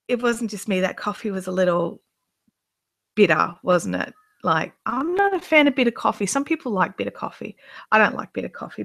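A woman's voice: medium pitch, a bit bright. Chatty woman gushing over yarn. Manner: chatty and rambling, gushes over yarn, many restarts and ums. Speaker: yarn dyer and vlogger. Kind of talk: casual live chat show-and-tell. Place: living room, sitting on a couch indoors.

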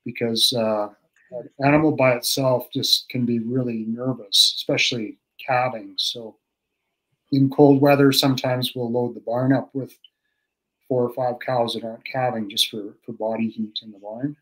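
A man's voice: medium pitch, fairly even intonation, normal speed, a bit bright, poor audio. A middle-aged man, a cattle expert, explaining calving in a casual, practical way over a video call from a room at home, with many fillers and restarts. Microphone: video call webcam.

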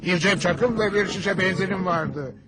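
A man's voice: high voice, moderate pace, lightly acted. A man voicing a blustery, boastful father in a Turkish cartoon dub, with a theatrical delivery. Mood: self-important storytelling. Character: blustery father spinning tall war stories. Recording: loud music under the voice.

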